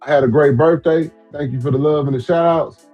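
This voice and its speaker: A man's voice: a little high, steady tone, brisk, highs cut off, soft background music. A man in his forties co-hosting a podcast, talking loosely and emphatically in slang with plenty of repeats. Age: 40s. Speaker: podcast co-host. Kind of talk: casual sports chat.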